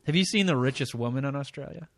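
A man co-hosting a podcast, making sardonic, dark jokes in casual banter. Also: medium pitch, fast talker, no echo, studio quality. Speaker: podcast co-host.

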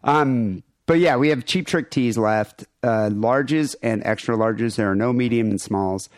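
A man's voice: mid-range voice, unhurried, no echo, clean studio sound. A man co-hosting a podcast, rambling casually with crude, irreverent jokes and show plugs. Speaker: podcast co-host.

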